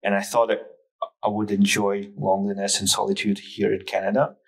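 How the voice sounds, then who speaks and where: A man's voice: on the low side, completely flat delivery, fairly quick, husky. A man from Ukraine with a Ukrainian accent, chatting casually and telling anecdotes on a podcast. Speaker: podcast guest, hobby photographer.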